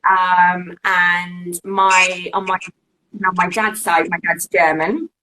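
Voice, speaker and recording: A woman's voice: on the low side, little pitch movement, slow pace, pushed and bright, low-quality recording. A woman from Wales, a guest on a live video-call interview, talking casually with many fillers and restarts. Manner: chatty, lots of fillers and restarts.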